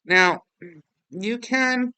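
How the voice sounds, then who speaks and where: A man hosting a casual software walkthrough in an informal, rambling, self-deprecating way. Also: very high voice, very slow, clear, resonant tone, no room sound. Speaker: tutorial host.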